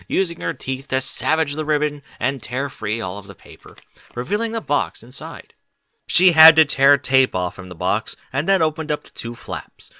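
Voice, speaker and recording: A man reading a story as a scripted, expressive audiobook narrator. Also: medium pitch, dry recording.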